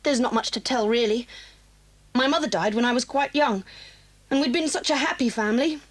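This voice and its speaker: A woman's voice: on the high side, fairly quick, lightly acted. A woman playing a lonely, proud, misunderstood boarding-school girl with an English accent in a children's audio drama. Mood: wistful, confiding.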